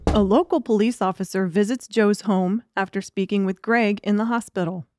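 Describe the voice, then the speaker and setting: A woman's voice: mid-range voice, fairly even intonation, normal speed, noisy background. A woman reading scripted story narration as an audiobook narrator.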